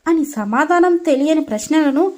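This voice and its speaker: High-pitched woman storyteller. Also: lively intonation, normal speed, darkish tone. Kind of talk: scripted story narration.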